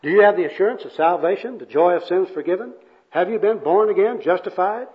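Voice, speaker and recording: A pious old evangelist, played by a man in an amateur skit, fervent and florid. His preaching voice sits fairly high. The recording is dull and lo-fi. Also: a little fast, natural voice. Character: kindly old male gospel worker, pious, jargon-spouting, fervent. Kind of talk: amateur dramatic reading of a comic skit.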